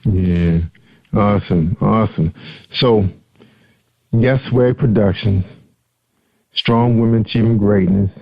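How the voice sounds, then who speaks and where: A man hosting a podcast interview, conversational and quick to affirm his guest. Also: fairly low pitch, vocal fry, no echo. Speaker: podcast host. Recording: dull lo-fi audio.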